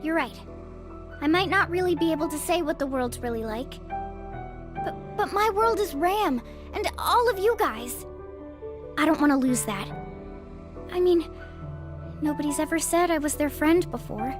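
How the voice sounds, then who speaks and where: An earnest young anime-game heroine. Her voice sits very high, sincere and soft-hearted, with some hesitation. Music plays behind her. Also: lively intonation, normal speed, pauses often. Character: earnest, kindhearted young artificially created girl.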